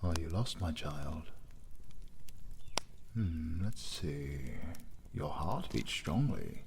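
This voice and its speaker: Man playing a deep, slow British god of healing. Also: animated, creaky, noisy background, full character voice. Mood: gentle concern. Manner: formal, soothing, theatrical, musing. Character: ancient gentle male god of recovery, kindly, fatherly. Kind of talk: scripted audio roleplay acting.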